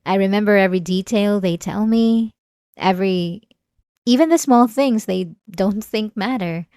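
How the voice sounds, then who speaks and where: A woman playing an obsessive, manipulative therapy patient in an ASMR roleplay, outwardly calm and vulnerable, speaking haltingly. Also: mid-range voice, dry recording, studio quality, close to an everyday voice. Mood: vulnerable, earnest. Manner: hesitant, halting, with sighs and nervous soft laughs. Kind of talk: intimate ASMR roleplay monologue.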